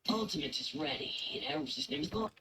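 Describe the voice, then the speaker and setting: A video game combat character firing off short status callouts in a high voice. The pitch leaps around in clipped looped phrases, with clicks and faint noise around it. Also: male voice, expressive, fast, bright, forceful tone. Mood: alert, clipped. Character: video game character announcing weapon and ultimate readiness.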